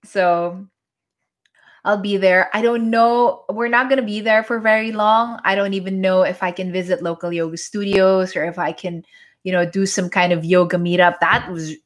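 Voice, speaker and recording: A woman's voice: medium pitch, fairly even intonation, moderate pace. A woman in her forties with a Filipino accent, a native Tagalog speaker, chatting casually in a live stream at home and answering viewer comments as a yoga instructor. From Philippines (Manila). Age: 40s.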